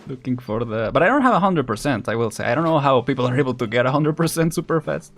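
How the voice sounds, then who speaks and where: A man's voice: mid-range voice, expressive, fast. Musing young male game streamer. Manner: musing, hesitant, lightly amused. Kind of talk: casual live gameplay commentary. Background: game music.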